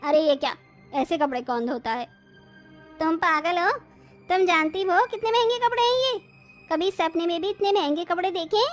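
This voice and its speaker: A woman's voice: very high voice, normal speed, soft background music. A woman playing a bossy, sneering elder sister-in-law in a moral story. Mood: scornful. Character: haughty scolding elder sisters-in-law in a household. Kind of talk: acted Hindi moral story dialogue.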